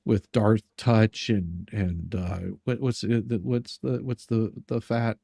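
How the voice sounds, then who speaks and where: A man chatting casually as a livestream host, with a halting, sarcastic manner that often repeats words. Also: fairly low pitch, fairly even intonation, gravelly, dark tone, no room sound, clean studio sound. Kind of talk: casual banter.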